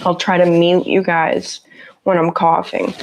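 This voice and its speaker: A woman with a raspy voice, sick with a cough, chatting casually on a livestream from her home office on a lapel mic and greeting viewers by name. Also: lowish voice, level tone, a little slow, slight background noise, rough recording. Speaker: livestream host. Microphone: lapel mic on her shirt.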